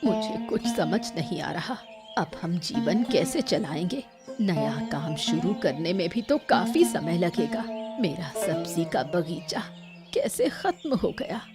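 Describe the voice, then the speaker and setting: A woman's voice: on the low side, very animated, unhurried, over music. Worried, shrewd old village mother played by a woman. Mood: sorrowful. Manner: lamenting, suspicious. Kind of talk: Hindi moral-story cartoon dubbing.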